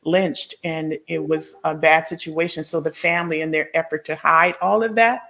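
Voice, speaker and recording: Low-voiced woman interview host. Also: fairly even intonation, moderate pace, fairly bright tone. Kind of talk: conversational interview, personal storytelling.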